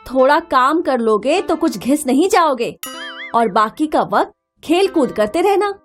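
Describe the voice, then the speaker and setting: Woman playing a high-voiced, strict village mother. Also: lively intonation, unhurried, faint music behind. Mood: firm. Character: scolding, strict village mother of twin boys. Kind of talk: animated moral story acting.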